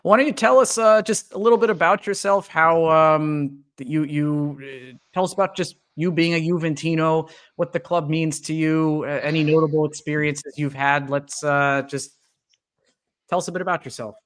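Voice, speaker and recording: A man's voice: fairly high pitch, steady tone, moderate pace, fairly bright tone. Man hosting a casual podcast. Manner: friendly, filler-heavy, welcoming. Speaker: podcast host.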